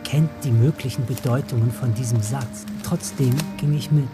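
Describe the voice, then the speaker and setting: A man's voice: mid-range voice, steady tone, normal speed, lightly acted. An actor playing a man who recalls a secretive boyhood friendship in reflective, literary first-person radio drama narration. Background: loud music.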